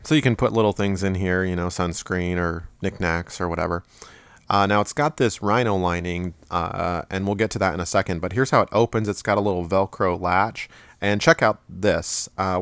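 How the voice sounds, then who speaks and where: A man giving a relaxed, rambling product review at home, with plenty of fillers and restarts. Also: deep voice, clean tone, no echo.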